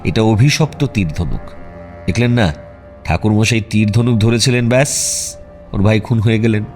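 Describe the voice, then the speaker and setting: A man playing a self-assured, wry detective in a radio drama, polite and probing with deadpan teasing. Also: on the low side, moderate pace, soft background music, lightly acted. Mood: mock-serious warning.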